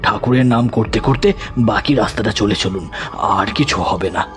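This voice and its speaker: An actor playing a deferential, superstitious village servant, humble and respectful, in a horror audio drama. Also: male voice, on the low side, moderate pace, natural voice. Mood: earnest, ominous. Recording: loud music over the voice.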